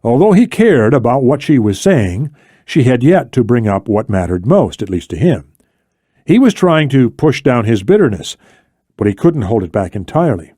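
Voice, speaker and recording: Male audiobook narrator, dramatic and varied, soft warm tone, dry close recording. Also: mid-range voice, big pitch swings, normal speed, husky, no room sound. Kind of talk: scripted fiction narration.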